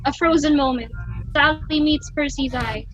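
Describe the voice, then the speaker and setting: A woman's voice: sits high, moderate pace, muffled recording, loud music behind the voice. A woman reading stage directions as a narrator, in scripted dramatic narration.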